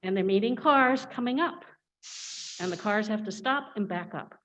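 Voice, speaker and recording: A woman's voice: medium pitch, animated, moderate pace, a bit bright. Muffled woman speaking at a town meeting. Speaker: resident at public comment. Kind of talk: public comment at a town meeting.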